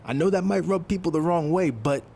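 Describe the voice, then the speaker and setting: A man's voice: fairly high pitch, brisk, vocal fry, warm tone. A man playing a confident, dryly teasing indie wrestler turned new recruit in a video game cutscene. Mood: brash.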